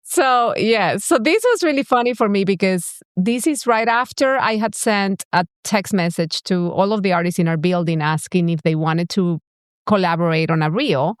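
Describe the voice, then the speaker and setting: A woman from Venezuela with a Venezuelan accent, co-hosting a casual podcast conversation in a candid, enthusiastic way with quick restarts. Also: mid-range voice, dry recording, studio quality. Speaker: podcast co-host.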